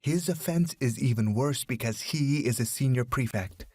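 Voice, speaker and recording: A man's voice: mid-range voice, moderate pace, a little mellow, husky, dry recording, lightly acted. Man reading a stern female school principal. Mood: stern, firm. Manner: formal, firm.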